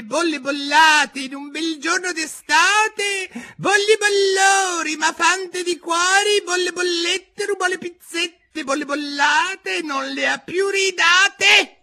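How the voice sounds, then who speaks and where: Give me a shrill fussy rabbit herald, very high and bright. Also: male voice, heavily acted. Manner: squeaky, shouting proclamations.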